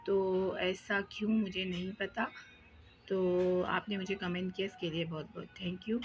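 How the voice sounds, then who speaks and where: A woman's voice: on the low side, level tone, unhurried, dull audio, a little hiss or hum. A young woman chatting casually as she vlogs her housework at home, rambling with many fillers. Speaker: vlogger. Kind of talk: casual home vlog narration.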